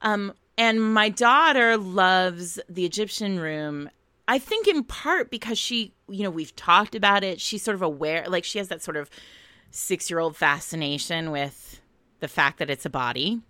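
An American woman co-hosting a podcast in casual chat, telling stories in an animated, digressive way. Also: lowish voice, measured pace, smooth, no echo. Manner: animated, digressive storytelling with frequent laughter. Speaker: podcast co-host.

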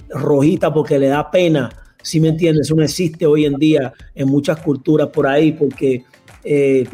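A Puerto Rican man with a lightly Colombian-tinged accent, talking as an interview guest. His voice sits fairly high, and he speaks reflectively, repeating words and filling pauses. Faint music plays in the background. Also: mellow. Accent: Puerto Rican Spanish with Colombian influence. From Puerto Rico. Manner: reflective, many fillers and repetitions. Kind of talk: casual interview chat.

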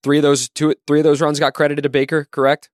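A man co-hosting a sports podcast, methodically reading through pitchers' stat lines. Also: quick pace, no room sound, studio quality. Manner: steady, listing numbers methodically. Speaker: co-host.

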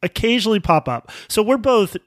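Male podcast co-host, 30s, wry storyteller, a bit high-pitched, dry mic. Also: expressive, fairly quick, no room sound. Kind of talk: casual chat.

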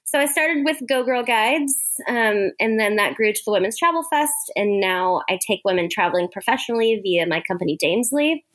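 A woman's voice: on the high side, expressive, a little fast, few pauses, fairly bright tone. Chatty American woman telling her story. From Hawaii, United States. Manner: chatty, laughs often while talking. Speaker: interview guest, author and women's travel entrepreneur. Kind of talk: casual conversational interview.

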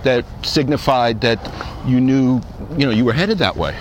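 A man's voice: medium pitch, normal speed, poor audio. A man hosting a podcast, asking questions in a casual conversational interview. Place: outdoors, walking in a park. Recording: outdoors with city and vehicle noise.

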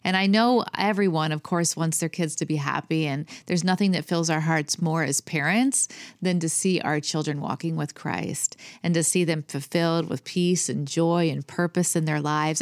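A woman's voice: low-pitched, normal speed, dry recording, studio quality. A woman in her fifties co-hosting a faith and family talk show, reassuring and conversational. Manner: warm, reassuring, lots of agreeing sounds. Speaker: podcast co-host. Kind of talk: casual chat.

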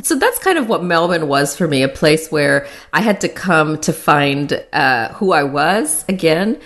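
A woman's voice: low voice, big pitch swings, a little slow, fairly bright tone. A woman in her thirties with an American accent, telling her life story in casual, chatty conversation and laughing often at herself. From born in Sri Lanka, raised in the Philippines and Arkansas. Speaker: guest co-host.

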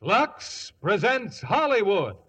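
A man's voice: sits high, very animated, slow pace. A man making grand announcements in 1950s radio style. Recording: vintage 1950s radio broadcast.

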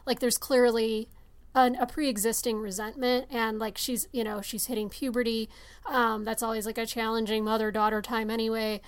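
A woman with a fairly high voice that stays rather even in pitch. She talks through a film in a casual, earnest way, analysing it informally as a podcast panelist. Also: moderate pace, clear, resonant tone.

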